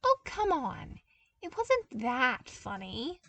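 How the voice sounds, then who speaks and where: A woman plays an earnest, teasing young man in an audio story. His voice is pitched up and animated, heard a little way off from the mic. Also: slow pace, distant mic, full character voice. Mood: playful complaint. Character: playful, earnest young man, a seasoned smuggling runner.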